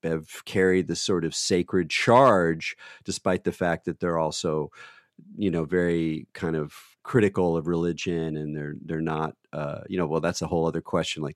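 Guy with a big booming deep voice, rambling on, lots of "you know"s, dry close sound. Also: no echo. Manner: rambling, full of "you know" fillers and restarts. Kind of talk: thoughtful conversational talk.

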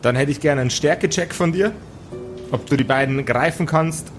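A man improvising narration as game master of a tabletop role-playing game, halting now and then to search for words. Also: a little high, faint music behind.

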